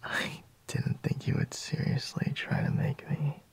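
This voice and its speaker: A man playing a sleepy, intimate boyfriend in a whispered ASMR roleplay, murmuring haltingly in a breathy, husky voice. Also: close to an everyday voice. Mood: hushed, flustered.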